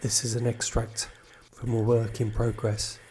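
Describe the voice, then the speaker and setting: A man with a husky voice reading a scripted piece of literary fiction aloud. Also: mid-range voice, completely flat delivery, a little slow, darkish tone, slight background noise. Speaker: reader of a literary extract.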